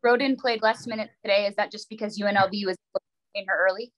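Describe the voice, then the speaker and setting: A woman's voice: mid-range voice, fairly even intonation, fast talker, fairly bright tone. A woman, a sports reporter asking questions at a press conference over a video call.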